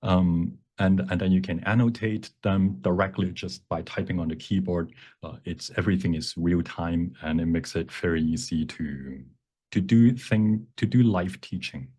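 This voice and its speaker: A man presenting a live software workshop and taking questions, explaining in a halting way with phrases that trail off. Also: deep voice, breathy edge.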